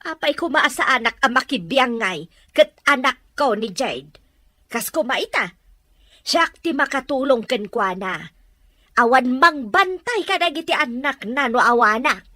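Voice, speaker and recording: Woman playing a high, bright caring young wife. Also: lively intonation, a little slow, no room sound, full character voice. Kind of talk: audio drama acting.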